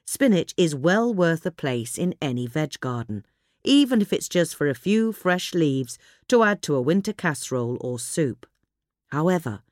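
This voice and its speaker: A woman reading a gardening magazine article aloud in a measured, clear way. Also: low voice, a little mellow, no echo, clean studio sound. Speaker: reader. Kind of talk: scripted narration of a gardening article.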